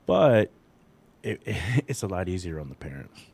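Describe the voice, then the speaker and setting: A man's voice: low voice, very animated, measured pace, vocal fry, a little mellow, slightly rough. A man in his forties talking in a casual, rambling and candid way, self-deprecating about his own life. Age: 40s. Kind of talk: casual chat.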